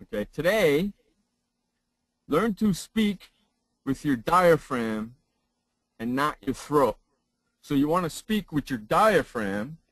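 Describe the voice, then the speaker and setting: A man who trains broadcasters, giving a lesson in a loud, emphatic way and repeating phrases for effect. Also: mid-range voice, dry recording, poor audio. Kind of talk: casual chat turning into a spoken lesson.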